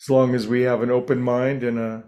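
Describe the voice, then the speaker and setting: A man leading a guided meditation as a teacher, speaking in a gentle, soothing way with frequent pauses. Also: mid-range voice, flat delivery, muffled recording.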